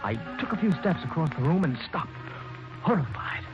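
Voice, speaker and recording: An eager young engineer, earnest, stumbling when flustered; lowish, expressive; dull old radio with music bed. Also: male voice, moderate pace. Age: young adult. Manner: stammering and earnest, rising to anger. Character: young ambitious male mining engineer narrating, bitter. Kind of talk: 1940s radio acting and narration.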